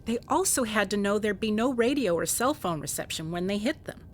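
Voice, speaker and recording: A woman's voice: mid-range voice, animated, fairly quick, slight background noise, close to an everyday voice. A woman playing a wry, grieving police investigator in a contemporary radio drama. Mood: brisk, thoughtful.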